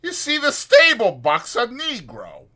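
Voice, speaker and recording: A man's voice: extremely high pitch, wildly swooping pitch, measured pace, bright, forceful tone, pitched above the performer's own voice, heavily acted. A man voicing a chatty old ranch swamper with rambling, folksy speech for a dramatized audiobook. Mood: excited, gossipy.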